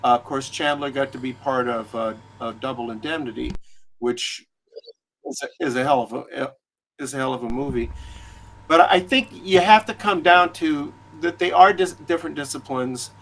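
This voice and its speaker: A man who is a guest author in a casual discussion, speaking haltingly and often repeating words as he gathers his thoughts. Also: mid-range voice, a bit bright, low-quality recording. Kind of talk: casual discussion of film and writing.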